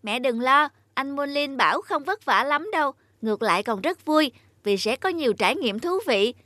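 Eager high-voiced young boy. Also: expressive, normal speed, dry recording. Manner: bright, earnest, polite.

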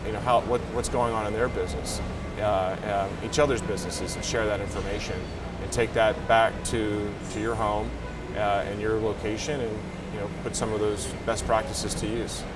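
A man in his forties giving measured, halting interview answers as a trade association president. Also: on the low side, flat delivery, music in the background. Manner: halting, measured, searching for words. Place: trade show booth, indoors. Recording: noisy trade show floor, slightly distant mic.

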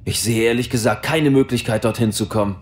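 A man's voice: mid-range voice, fairly quick, natural voice. A man playing an easygoing young crewmate who talks in a casual, skeptical way in an audio drama.

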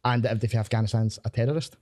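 A young Scottish comedian chatting as a podcast guest in a studio, animated and swearing freely. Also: male voice, mid-range voice, racing. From Scotland. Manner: animated, swearing, laughing often. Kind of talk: casual chat. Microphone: studio mic on boom arm.

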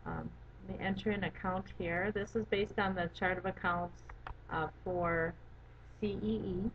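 A woman giving a methodical, step-by-step software walkthrough as an instructor. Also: mid-range voice, fairly even intonation, a little slow. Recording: dull lo-fi audio with background noise.

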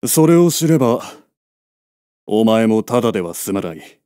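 A man's voice: on the low side, very animated, normal speed, dark tone, no room sound, studio quality. A man playing a battle-worn wolf beastman ex-commander in an audio drama, curt and weighty. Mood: grave, commanding.

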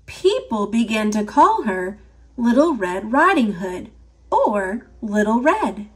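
Woman reading a kids picture book out loud, slow and lively, slightly husky high voice. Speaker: storybook narrator. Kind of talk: expressive read-aloud for children.

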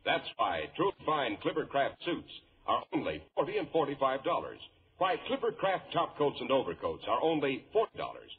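A man with an American accent announcing in a polished, emphatic 1940s radio style, reading scripted copy. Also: medium pitch. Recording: dull 1940s radio broadcast, music.

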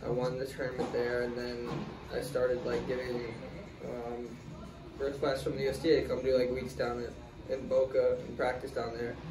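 A young man answering interview questions in a relaxed, casual way, full of fillers. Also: medium pitch, normal speed. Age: young adult. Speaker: guest, tennis player. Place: small room, in doors, seated in armchair. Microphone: far camera. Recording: roomy, distant, noisy.

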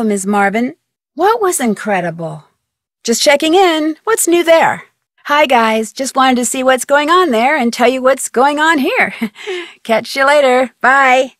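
A young American woman detective in a video game. She is polite, curious and matter-of-fact, with a fairly high voice recorded close and dry. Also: no room sound. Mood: friendly, upbeat. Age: young adult. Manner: friendly, clear-spoken, matter-of-fact. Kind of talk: video game voice acting, phone dialogue.

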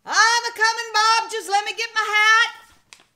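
A woman's voice: very high voice, little pitch movement, measured pace, sharp tone, pitched above the performer's own voice, full character voice. A woman voicing a storybook Old West sheriff with drawling, folksy cowboy talk. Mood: urgent. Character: gruff-talking Old West sheriff, devoted to his hat.

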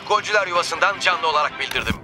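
A man playing a brisk, excitable TV sports reporter giving a live report in a cartoon dub. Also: a little high, natural voice. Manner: brisk broadcast patter. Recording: noisy outdoor street-like background.

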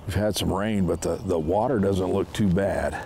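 A man's voice: deep voice, moderate pace, vocal fry, mellow, slight background noise. A man in his fifties hosting a fishing show, casually explaining his technique as he fishes from a boat on a lake. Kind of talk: casual instructional talk while fishing. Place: small boat on a lake outdoors.

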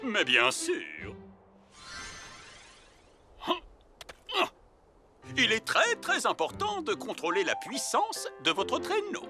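A woman's voice: fairly high pitch, huge pitch swings, a little slow, music in the background, heavily acted. A woman voicing a playful, boastful otter in a children's cartoon dub, in a theatrical, exclamatory, giggly manner. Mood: instructive, cheerful.

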